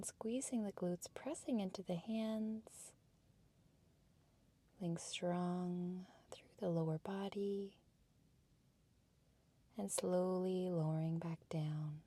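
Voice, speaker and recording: A woman instructor giving soft, breathy, measured cues for a guided workout in a calm meditation cadence. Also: lowish voice, no echo. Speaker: fitness and yoga instructor.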